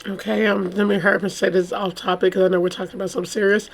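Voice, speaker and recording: Female vlogger, slightly hoarse, casual and rambling, exclamatory commentary in an informal chatty style. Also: mid-range voice, level tone, rapid-fire. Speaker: YouTube true crime host.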